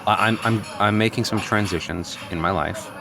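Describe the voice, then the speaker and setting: A man playing an earnest, neurotic, self-absorbed friend in an improvised radio comedy, halting and over-explaining. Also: fairly low pitch, over music, natural voice. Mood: awkward, earnest. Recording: clearly noisy background ambience.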